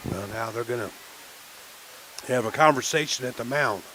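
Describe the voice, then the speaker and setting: A man calling a minor league baseball game on local radio, chatting casually between pitches. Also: moderate pace, very noisy. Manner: relaxed folksy chatter between pitches, rising excitement on big plays. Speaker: play-by-play announcer.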